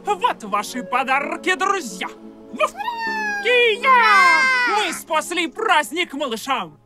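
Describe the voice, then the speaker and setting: A woman voicing a brave, bossy cat hero who yells karate cries in a children's puppet show. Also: very high voice, very animated, slow, over loud music, exaggerated voice. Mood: triumphant. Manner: shouting karate cries, barking.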